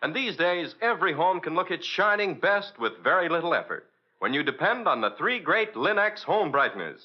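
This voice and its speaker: A man's voice: on the high side, big pitch swings, moderate pace, dull audio. A man with an American accent announcing in a polished, emphatic 1940s radio sponsor style, on an old broadcast recording.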